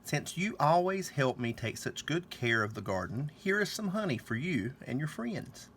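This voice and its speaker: Storybook beekeeper, male, generous and friendly, acted by a reader, animated delivery. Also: on the high side, moderate pace, slight background noise, voice pushed up. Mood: warm, giving.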